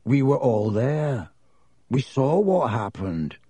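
A man's voice: medium pitch, big pitch swings, slow pace, slightly rough, dry recording, heavily acted. A man voicing a pompous, fearful, suspicious schoolboy in a storybook, with a stubborn, mysterious manner. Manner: hushed, mysterious to quavering and stubborn.

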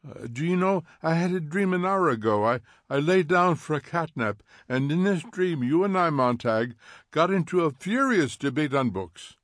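A smug, needling fire captain voiced by a man, taunting with raised pitch and sudden fast bursts. His delivery is loud and theatrical, heard in a dry, close recording. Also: animated, no room sound.

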